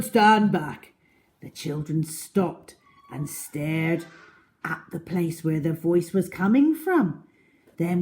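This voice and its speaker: Woman doing storytime for kids, really unhurried, expressive with dramatic emphasis. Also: fairly low pitch. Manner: slow, deliberate, dramatic emphasis for children. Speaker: children's storyteller. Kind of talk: expressive children's story reading and chat.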